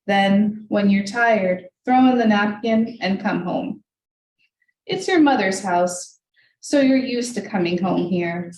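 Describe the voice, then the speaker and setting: A first-generation Hungarian-American woman, a native Hungarian speaker, reads her poems aloud in a measured, deliberate way, then adds loose asides full of ums. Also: mid-range voice, darkish tone. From United States, Hungarian family. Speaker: poet reading her work. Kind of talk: live poetry reading with spoken asides. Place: reading room of a library, indoors. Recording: echoey room, voice from far off, faint hiss.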